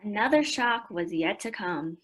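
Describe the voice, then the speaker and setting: A woman's voice: medium pitch, expressive, measured pace, fairly bright tone, slightly rough, poor audio. An actress in a radio play narrating as a young sister telling her family's story. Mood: intrigued. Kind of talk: dramatic scripted narration.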